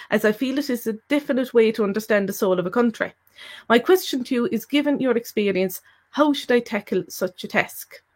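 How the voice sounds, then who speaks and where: A woman with an Irish accent co-hosting a live language-learning Q&A and reading out viewer questions, speaking hesitantly with many fillers and restarts. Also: mid-range voice. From Ireland.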